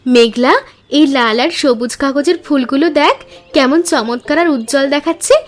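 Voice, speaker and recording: A woman's voice: high-pitched, normal speed, faint music behind, lightly acted. A woman voicing a cheerful village elder sister in a cartoon, earnest and encouraging. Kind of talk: cartoon story dialogue.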